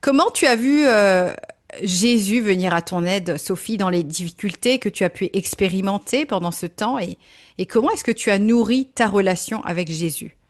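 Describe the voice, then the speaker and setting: A woman's voice: medium pitch, moderate pace. Encouraging woman interviewer. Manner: encouraging and affirming. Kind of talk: interview questions.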